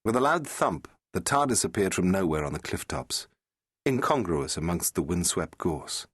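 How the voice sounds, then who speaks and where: Deep, husky male storyteller. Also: sing-song, fairly bright tone, dry recording, studio quality. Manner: measured, suspenseful storytelling. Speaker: audiobook narrator. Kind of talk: dramatic scripted fiction narration.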